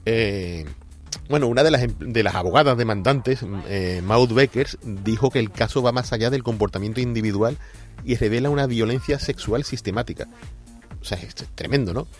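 A man's voice: mid-range voice, moderate pace, faint music behind. A man co-hosting a gaming news show, chatting casually with hesitations and asides. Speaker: radio co-host.

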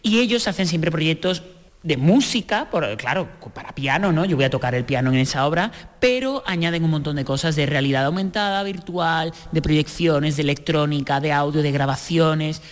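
A man's voice: fairly high pitch, moderate pace, big-room sound. A man, a pianist, explaining his new work in a friendly way in a theatre. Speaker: pianist interviewee. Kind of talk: interview talk.

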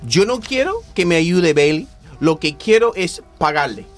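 A man's voice: fairly high pitch, sing-song, a little slow. An actor from playing a grumpy, greedy, domineering rich businessman in a radio play, scornful and emphatic. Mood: pushy, boastful. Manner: scornful, emphatic, repeats words for effect. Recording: music under the voice.

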